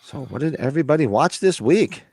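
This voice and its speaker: Fast-talking American man with a recovering voice. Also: fairly high pitch, expressive, gravelly. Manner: rambling, profane, blunt. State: recovering from a lost voice. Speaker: podcast host. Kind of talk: casual chat about horror movies.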